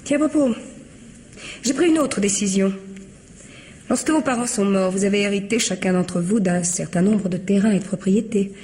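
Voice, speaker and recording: Casting: woman playing icy widow in a radio mystery, mellow and cutting, mockingly polite, noisy recording. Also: mid-range voice, normal speed, natural voice. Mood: taunting, triumphant. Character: cold, vengeful widow taunting her greedy in-laws. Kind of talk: French radio mystery drama acting.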